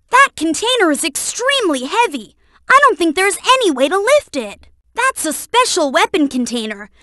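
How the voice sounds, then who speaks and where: A woman's voice: extremely high pitch, sharp tone, no echo, studio quality, full character voice. A woman voicing an eager, brainy young boy fox sidekick in a video game, earnest and helpful as they explain things. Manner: earnest, instructive, explains carefully.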